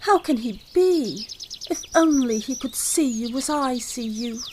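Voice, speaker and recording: An actress playing a spirited young woman in love in a period radio drama, lively and playful. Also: high voice, unhurried, a little hiss or hum. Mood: tender. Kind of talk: old-time radio drama acting.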